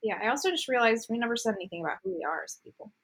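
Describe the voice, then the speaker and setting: A woman's voice: a little high, expressive, rapid-fire, a bit bright, breathy edge. A woman from Egypt chatting casually as a co-host, telling her story in an informal, tangential way. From Egypt, until about thirteen. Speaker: podcast co-host. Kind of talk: casual chat. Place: remote call.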